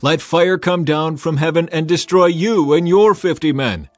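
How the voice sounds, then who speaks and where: A man's voice: on the high side, moderate pace, pitched above the performer's own voice, natural voice. A man voicing a stern old male prophet, declaiming his words solemnly in a dramatized scripture reading.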